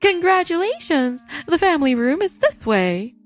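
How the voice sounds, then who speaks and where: Lady playing chipper wedding mc, polite n formal, really high lively voice. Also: normal speed. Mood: polite, professional. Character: polite, chipper female wedding attendant and announcer. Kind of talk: manga story dub acting. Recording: music under the voice.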